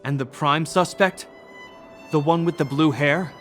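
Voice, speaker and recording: Dutiful game guard captain, formal and commanding, slightly high male voice, music beneath. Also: unhurried, a bit bright. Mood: stern. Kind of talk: video game voice acting.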